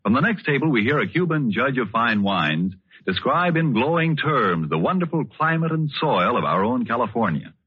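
A man's voice: mid-range voice, very animated, normal speed, husky, no echo. An American man announcing a 1940s radio advertisement with polished, emphatic scripted salesmanship. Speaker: commercial announcer. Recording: muffled dry old radio recording.

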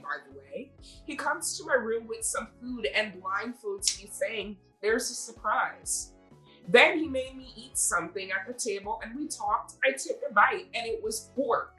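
A woman podcast host chatting casually and reading stories aloud, addressing a co-host in an animated storytelling way. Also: a little high, low-quality recording.

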